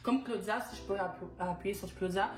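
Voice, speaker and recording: A woman's voice: medium pitch, steady tone, a little fast. A young woman moderates the talk, posing questions earnestly and adding stories of her own. Her voice sits in a middle register and echoes around the room, with faint music behind. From Quebec. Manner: earnest, asks questions, recounts personal experience. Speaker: talk show host. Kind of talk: moderating a casual discussion.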